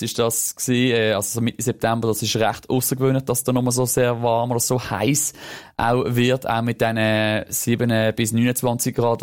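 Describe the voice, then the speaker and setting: A man with a Swiss German accent giving a live radio weather forecast in a relaxed, chatty way, stumbling over a word now and then. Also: mid-range voice.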